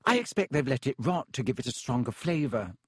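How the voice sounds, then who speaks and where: A man voicing an earnest, anxious young schoolgirl in an audiobook, knowing and brightly polite when flustered. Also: mid-range voice, big pitch swings, fairly quick, breathy edge, no echo, studio quality. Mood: knowledgeable.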